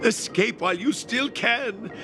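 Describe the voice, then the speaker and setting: An actor playing an excitable, self-important senior artisan in a video game, melodramatic and easily flustered. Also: male voice, very high-pitched, a little slow, background noise. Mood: heroic, melodramatic. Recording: game music behind the voice. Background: game music.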